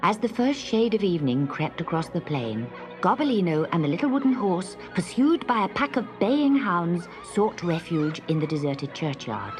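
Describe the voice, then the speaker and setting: A woman narrating a children's story from a script in a dramatic, animated way. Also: on the low side, normal speed, hardly any pauses, faint music behind, rough recording.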